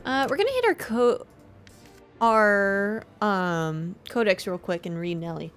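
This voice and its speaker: A woman's voice: mid-range voice, lively intonation, unhurried, a bit bright, clear, resonant tone. A woman giving casual livestream commentary on a game and reading its text aloud. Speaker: game streamer. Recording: faint music behind the voice. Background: game music.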